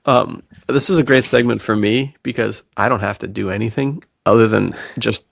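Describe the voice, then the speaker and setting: A man's voice: on the low side, steady tone, normal speed, vocal fry, no room sound, studio quality. A man hosting a podcast in a casual, laid-back, bro-ish way, using plenty of slang. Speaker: podcast host.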